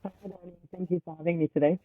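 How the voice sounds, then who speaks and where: A young woman from Switzerland, a startup founder, answering interview questions in a loose, halting way full of fillers. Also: on the low side, fairly even intonation. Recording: tinny phone-like line.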